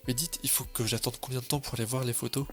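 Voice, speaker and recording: A man's voice: medium pitch, fairly even intonation, fast, over music, close to an everyday voice. A man voicing a curious, polite but sceptical tomcat, hesitant and argumentative, in an audio story. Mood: unsure. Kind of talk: French audio story dialogue.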